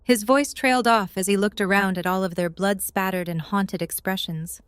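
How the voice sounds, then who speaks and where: Female narrator, medium pitch, scripted storytelling, audio drama. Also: fairly even intonation, moderate pace, fairly bright tone, clean tone, no echo.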